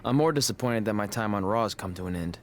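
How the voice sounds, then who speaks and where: An actor playing a cocky but earnest young pro wrestler in a video game cutscene, wry and boastful. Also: male voice, fairly low pitch, expressive, fast talker, close to an everyday voice. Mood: subdued, earnest.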